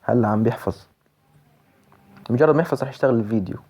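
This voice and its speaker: A man's voice: mid-range voice, rapid-fire, warm tone, slightly rough. A man with a Levantine Arabic accent giving a casual spoken app walkthrough, repeating instructions step by step. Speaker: tutorial presenter.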